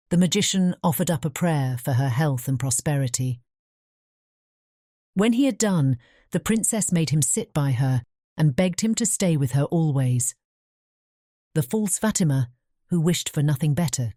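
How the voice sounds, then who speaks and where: A woman's voice: low voice, warm tone, no room sound, studio quality. A woman reading scripted storybook narration as an audiobook narrator.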